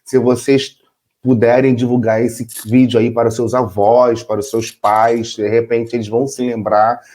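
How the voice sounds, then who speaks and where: A man's voice: mid-range voice, normal speed. A middle-aged man from Brazil co-hosting a casual chat, explaining with rhetorical questions to the audience. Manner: relaxed, chatty, says "entendeu?" often. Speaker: co-host.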